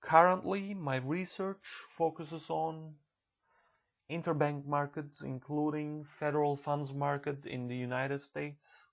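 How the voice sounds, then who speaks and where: A man in his thirties from Turkey with a Turkish accent, delivering a recorded online college lecture in a measured, careful way. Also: on the high side, fairly even intonation, vocal fry. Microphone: computer mic for a narrated slideshow.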